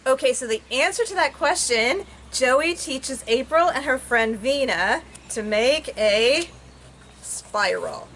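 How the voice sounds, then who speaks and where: A woman's voice: sits high, lively intonation, slow, a bit bright, low-quality recording. A woman author giving an informal talk about her children's book, recorded outdoors in a backyard. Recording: faint outdoor background noise.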